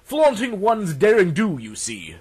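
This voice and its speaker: A man voicing a stuffy, pompous Edwardian gentleman adventurer narrating a pulp audiobook, formal and theatrical in manner. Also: high-pitched, wildly swooping pitch, a little slow, breathy edge, voice pushed up, exaggerated voice. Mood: dry, affronted.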